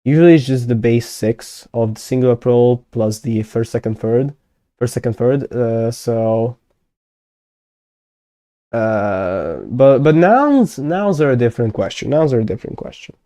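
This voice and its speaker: Hungarian man thinking aloud, mellow. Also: mid-range voice, animated, unhurried, no room sound, clean studio sound. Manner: thinking aloud, many fillers and self-corrections. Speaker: conlang streamer. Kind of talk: casual live-stream chat.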